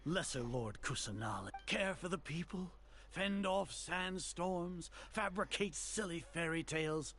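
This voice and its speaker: Pompous, haughty older sage. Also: male voice, on the high side, a bit bright. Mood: fanatical, grandiose. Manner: pompous, formal, deliberate diction. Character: haughty, fanatical older male grand sage, coldly scheming. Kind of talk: dramatic video game voice acting. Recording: game dialogue over music.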